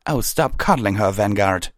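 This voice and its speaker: Storybook warrior mare, played by a male narrator: proud, scornful, hot-tempered, pitched up, close dry sound. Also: animated, moderate pace, a little mellow, no room sound. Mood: angry, defiant. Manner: hissing, muttering, grousing. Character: fierce scornful proud female warrior pony.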